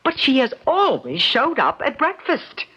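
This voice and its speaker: An actress playing a polite, hesitant woman in an old-time radio mystery, with a faltering, expressive delivery. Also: high-pitched, measured pace, slightly rough, heavily acted. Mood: apologetic. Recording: muffled, hissy old radio recording.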